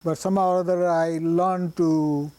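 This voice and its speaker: An older man from Gujarat in India, a native Gujarati speaker with a Gujarati Indian accent, recalling his life in plain, halting speech with pauses and restarts. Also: a little high, flat delivery, warm tone. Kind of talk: oral history reminiscence.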